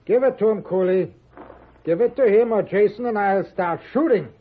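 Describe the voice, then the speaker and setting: Over a tinny, phone-like old radio recording, a frontier townsman taking charge. His voice is high for a man and husky, firm and cagey. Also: moderate pace. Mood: firm, commanding. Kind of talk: old-time radio Western acting.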